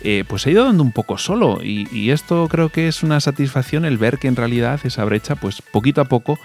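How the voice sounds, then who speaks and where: A man's voice: medium pitch, animated, fairly quick, a little mellow, soft background music. A man co-hosting a radio program, speaking in an easygoing, reflective way. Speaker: radio show co-host. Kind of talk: scripted radio presenting.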